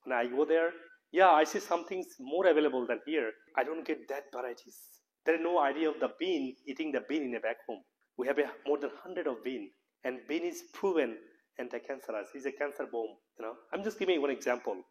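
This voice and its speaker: A man's voice: medium pitch, brisk, poor audio. Earnest man with a Bangladeshi accent. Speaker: professor and health practitioner giving a talk. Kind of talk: informal health lecture.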